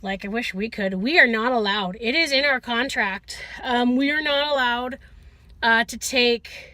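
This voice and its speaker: A woman talking casually to viewers in a chatty, animated video diary, often interrupting herself. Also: on the high side, a little slow, no room sound. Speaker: vlogger, school teacher.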